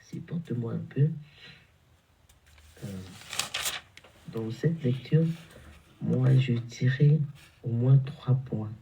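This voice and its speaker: A man's voice: mid-range voice, slow, creaky, pauses often, muffled recording, background noise. A man with a cold preaching a morning exhortation, repeating and stumbling over his words. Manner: repetitive, stumbling, emphatic preaching. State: has a cold, congested.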